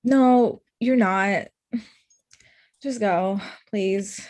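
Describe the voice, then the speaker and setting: A woman's voice: mid-range voice, extremely slow, darkish tone, no room sound. A young woman acting a blunt, hurt, confrontational ex-girlfriend in her thirties in a comedy-drama table read. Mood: exasperated. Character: Amita, blunt, hurt ex-girlfriend, thirty-five, sharp-tongued lawyer.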